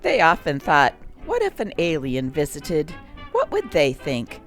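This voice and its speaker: Female compilation host: low voice, unhurried informal narration, faint music underneath. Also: wildly swooping pitch.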